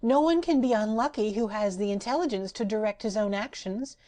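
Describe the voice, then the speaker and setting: A woman's voice: mid-range voice, fairly quick, mellow, voice pushed up, lightly acted. A woman voicing a giddy, boastful female patchwork rag doll who chants sing-song rhymes. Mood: cheeky.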